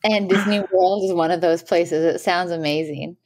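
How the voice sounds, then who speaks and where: A woman's voice: fairly low pitch, steady tone, moderate pace, darkish tone. A woman co-hosting a podcast, enthusiastic in casual conversation. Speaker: podcast co-host.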